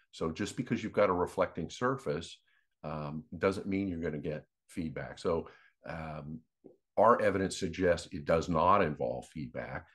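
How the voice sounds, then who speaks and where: Man, deep voice, podcast co-host, unscripted explanatory talk, measured, matter-of-fact. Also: breathy edge.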